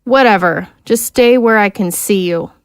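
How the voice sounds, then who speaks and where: A woman's voice: medium pitch, moderate pace, smooth, no echo, clean studio sound, natural voice. An actress playing a bossy, hostile female prison guard, sarcastic and snappish, in an audio drama. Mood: bossy irritation.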